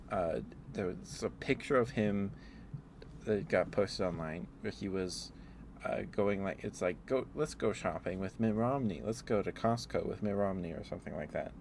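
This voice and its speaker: A man streaming casual, rambling ASMR chat, telling stories in a halting, meandering way. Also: fairly low pitch, darkish tone. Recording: clearly audible background noise.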